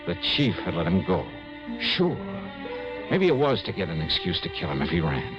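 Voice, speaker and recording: An actor playing a tough, shrewd American insurance investigator in vintage radio noir, moving between wry narration and urgent dialogue. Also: male voice, deep voice, big pitch swings, normal speed, music in the background. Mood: brooding, deducing. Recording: muffled old radio recording.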